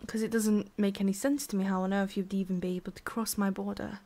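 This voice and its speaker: A woman playing a haughty, centuries-old tsundere forest witch in an ASMR roleplay, scolding the listener with condescension and theatrical pauses. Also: medium pitch, level tone, warm tone, natural voice. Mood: scornful.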